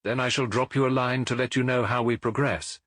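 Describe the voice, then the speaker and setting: A man's voice: mid-range voice, fairly even intonation, fairly quick, a bit bright, dry recording, clean studio sound, natural voice. A man voicing a clever, nonchalant Victorian detective in a storybook, urbane, precise and breezy. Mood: nonchalant, brisk. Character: brilliant, nonchalant Victorian male detective, brisk and amused.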